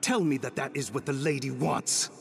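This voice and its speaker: An actor playing a doubting, agitated follower of a secret band in a fantasy game, speaking urgently and challengingly. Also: male voice, mid-range voice, steady tone, normal speed, gravelly. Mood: alarmed, accusing. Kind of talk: dramatic fantasy game voice acting.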